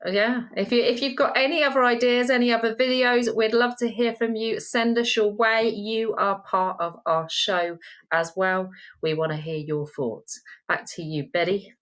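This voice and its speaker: A woman's voice: a little high, steady tone, normal speed. A middle-aged woman co-hosting a casual commentary show, making her points with passionate emphasis. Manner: emphatic, impassioned ranting. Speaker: co-host. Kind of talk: casual social media commentary.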